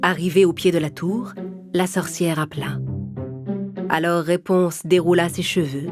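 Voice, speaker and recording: Lowish-voiced woman narrating a children's tale. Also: fairly even intonation, normal speed. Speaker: storyteller. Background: music.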